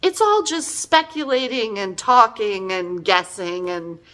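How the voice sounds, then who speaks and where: A woman's voice: mid-range voice, animated, unhurried, sharp tone. A middle-aged woman giving a casual, chatty book review in an exasperated, emphatic, conversational way.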